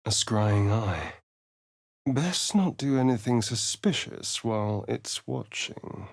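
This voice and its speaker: A man's voice: mid-range voice, animated, slow pace, vocal fry, no room sound, studio quality, heavily acted. An actor playing a sly, vain, sardonic male vampire rogue in a video game, with an arch, drawling, theatrical delivery. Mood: guarded. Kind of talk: theatrical video game character lines and narration.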